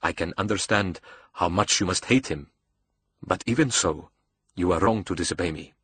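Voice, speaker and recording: Man voicing a cold, measured, low male mastermind. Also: slightly rough, full character voice. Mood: quietly menacing. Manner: soft-spoken with an edge, measured, formal. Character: cold, calm, controlling male villain mastermind. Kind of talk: audiobook character dialogue.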